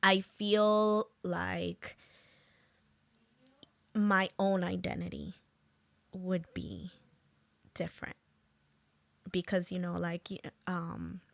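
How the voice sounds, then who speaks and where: Slow, gravelly-voiced woman talking casually. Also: lowish voice, expressive, dry recording. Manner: slow, halting, many fillers. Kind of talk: casual conversation.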